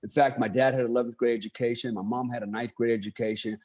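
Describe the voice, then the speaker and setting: A man's voice: medium pitch, steady tone, fast talker, darkish tone. A man in his fifties from the United States, telling his own life story in a loose, casual monologue full of fillers and restarts. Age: 50s. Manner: rambling, many fillers and restarts.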